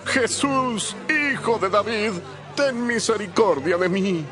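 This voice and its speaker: A blind male beggar in a Bible audio drama, crying out in a high, drawn-out plea. He sounds desperate and slow, with music and a crowd loud behind him. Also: animated. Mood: desperate pleading. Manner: loud drawn-out pleading cries.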